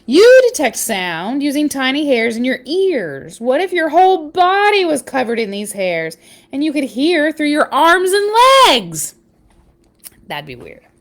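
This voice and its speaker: High, lively, unhurried woman reading a picture book. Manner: expressive, dramatic emphasis, chatty asides. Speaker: children's picture book reader. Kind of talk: animated read-aloud storytelling with casual asides.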